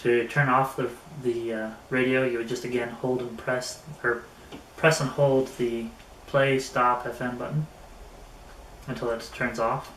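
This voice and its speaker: A man giving a casual hands-on product review, speaking in a halting way with pauses mid-phrase. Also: mid-range voice, little pitch movement. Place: indoors in an echoey room. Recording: roomy echo, faint background noise.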